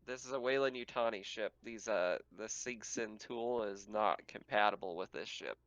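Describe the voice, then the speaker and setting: A man acting as game master, narrating and refereeing a tabletop roleplaying game in halting phrases. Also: fairly low pitch, fairly bright tone, no echo, lo-fi sound.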